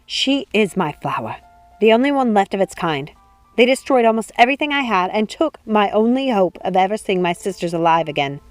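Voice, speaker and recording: A woman's voice: mid-range voice, expressive, a little fast, no room sound, faint music behind, natural voice. A woman voicing a bitter, proud and possessive witch in a storybook reading, speaking in grave, emphatic declarations. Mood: cold, self-righteous. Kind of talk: storybook character voice.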